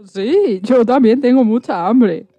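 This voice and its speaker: A woman's voice: a little high, slow pace, dark tone, no echo. A woman playing a wary but helpful zebra in a children's radio play, speaking simply and earnestly. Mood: eager.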